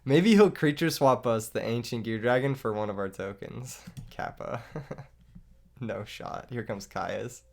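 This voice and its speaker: A young man narrates his card game match while recovering from an illness that has taken his voice. He talks casually, making fun of himself and laughing between plays. His pitch sits in a middling range. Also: unhurried, creaky, a little mellow. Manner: joking, self-mocking, laughs often. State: lost voice from illness. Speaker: gaming YouTuber. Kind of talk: live gameplay commentary.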